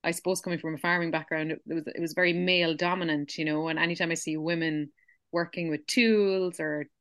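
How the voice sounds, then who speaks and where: A woman's voice: deep voice, steady tone, a little fast, fairly bright tone, no room sound, clean studio sound. A woman hosting a podcast, chatting in a friendly, supportive way with her guest. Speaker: podcast host. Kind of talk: casual interview chat.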